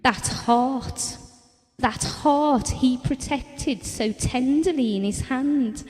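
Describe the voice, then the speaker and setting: Actress as a high-voiced wistful wife, reverberant. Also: female voice, slow pace, a little mellow. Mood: bitter, hurt. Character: Ellen, wistful, devoted wife around forty, quietly wounded. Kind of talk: theatrical audio drama monologue and dialogue. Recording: long hall-like reverb.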